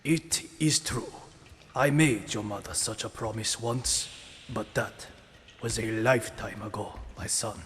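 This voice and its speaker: A man playing an aged rat sensei and stern, loving father in an audio drama, speaking formally and gravely. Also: lowish voice, a little slow, a bit bright, a little hiss or hum. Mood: grave, sorrowful. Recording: long reverberant hall echo.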